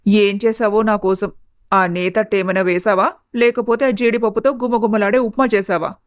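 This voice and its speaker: A woman playing a grumbling, whiny middle-aged mother-in-law in an animated family story. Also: medium pitch, normal speed, no echo, close to an everyday voice. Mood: hungry, wistful. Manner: whiny, complaining.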